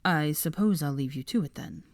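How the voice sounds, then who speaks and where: A woman voicing a cool, concerned young man in an audiobook, quiet and restrained, with a drawl. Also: low-pitched, animated, moderate pace, no echo. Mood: cool, bemused.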